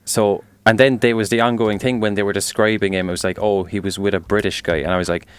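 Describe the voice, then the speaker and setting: A man's voice: fairly low pitch, level tone, fast, clean tone. A man co-hosting a podcast, giving blunt opinions in casual chat and often trailing off into mumbles. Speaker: podcast co-host.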